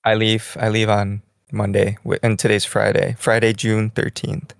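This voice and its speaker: A young man co-hosting a podcast in casual chat, rambling in a relaxed way and saying "like" constantly, lying in bed in a small bedroom. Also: lowish voice, normal speed, creaky, no echo, studio quality. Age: young adult. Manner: relaxed, rambling, heavy use of "like". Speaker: podcast co-host.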